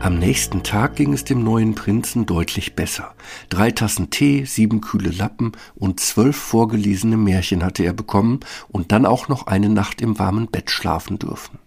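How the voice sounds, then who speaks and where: A man's voice: fairly low pitch, moderate pace, creaky, darkish tone, husky, faint music behind. A man narrating a scripted children's fairy tale as a storyteller.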